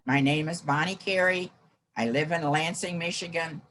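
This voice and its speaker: An 89-year-old American woman reminiscing in a group interview, with halting, deliberate phrasing. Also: low voice, loud music behind the voice. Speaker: former scout troop helper.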